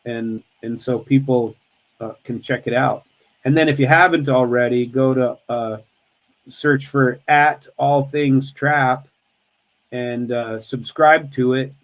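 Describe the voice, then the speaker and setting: A man's voice: medium pitch, fairly even intonation, takes it slowly, poor audio. A man in his sixties hosting a live stream, chatting casually with family, rambling and joking with many restarts. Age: 60s.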